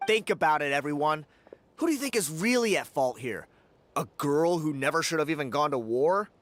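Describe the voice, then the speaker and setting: A man's voice: fairly high pitch, expressive, brisk, no room sound, lightly acted. A man playing a smug, spiteful young warrior in a video game, sneering and insinuating. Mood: goading. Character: smug, spiteful young male warrior, scornful rumor-monger. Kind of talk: English video game voice acting.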